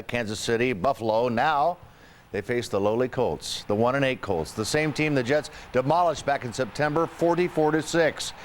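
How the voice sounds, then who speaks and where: American male TV sportscaster, faint noise. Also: medium pitch. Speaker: TV sports anchor. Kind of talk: sportscast narration.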